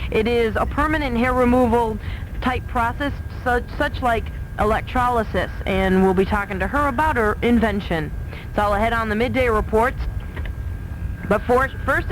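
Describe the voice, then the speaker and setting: High-pitched woman reading radio news, noisy tape. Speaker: radio news host and newsreader. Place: radio studio.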